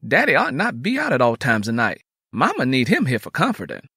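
A man's voice: mid-range voice, huge pitch swings, fairly quick, gravelly, sharp tone, husky, no room sound, clean studio sound, exaggerated voice. A man voicing a bossy, anxious girl, insistent and scolding, in an audiobook. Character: bossy, anxious Southern girl, older sister Mary. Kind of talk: dramatised audiobook dialogue.

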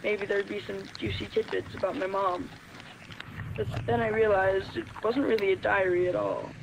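A woman's voice: mid-range voice, steady tone, normal speed, background noise, natural voice. An actress playing an earnest, hesitant caller to a radio show in a game's radio drama, telling her story tentatively and plainly. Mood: earnest, reflective. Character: earnest, hesitant female caller sharing a family secret. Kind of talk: radio drama acting in a game. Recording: music playing behind the voice.

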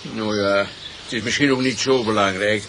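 A man's voice: lowish voice, steady tone, moderate pace, background noise, natural voice. An actor playing a polite, evasive village postman in a Dutch radio drama.

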